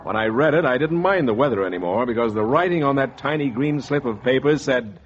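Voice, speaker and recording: Animated young man, acted, vintage radio drama. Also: medium pitch, fairly quick, slight background noise, close to an everyday voice. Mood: wry, dramatic. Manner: wry, hardboiled storytelling. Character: young ex-soldier sales manager, polite, wry narrator. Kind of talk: 1940s radio acting. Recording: dull vintage 1940s radio recording.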